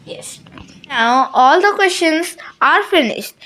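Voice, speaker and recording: A woman's voice: high-pitched, animated, slow pace, bright, forceful tone. A woman hosting an interview, asking questions politely and formally. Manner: polite, formal, addresses guest as ma'am. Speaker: interviewer and channel host.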